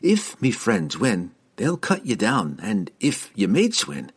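A man voicing a rough, scheming female sailor who is held captive, talking in a coarse dialect. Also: fairly low pitch, huge pitch swings, takes it slowly, exaggerated voice. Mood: taunting. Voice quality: coarse dialect, dropped words.

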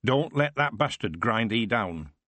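A man's voice: on the low side, lively intonation, unhurried, bright, forceful tone, no echo. A man doing an old rustic stable hand. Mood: wry. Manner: rustic dialect speech.

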